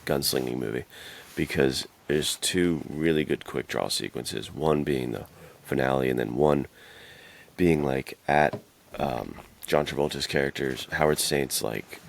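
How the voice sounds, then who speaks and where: A man's voice: extremely low pitch, measured pace, creaky. A man co-hosting a podcast, chatting casually in laid-back, slangy fan talk. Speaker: podcast co-host. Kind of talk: casual chat about films and games.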